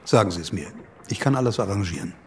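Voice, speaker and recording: Radio drama: male actor as cynical intriguer, lowish voice, sardonic, impatient, slight noise behind. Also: expressive, fast talker, a little mellow, close to an everyday voice. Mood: probing. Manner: sardonic, interrogating. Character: cynical, scheming political fixer, impatient and condescending. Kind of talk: German radio play dialogue, naturalistic.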